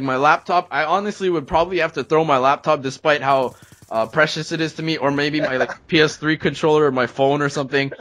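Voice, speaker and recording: A man's voice: a little high, fairly bright tone. A young man from Canada hosting a gaming series with casual storytelling commentary. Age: young adult.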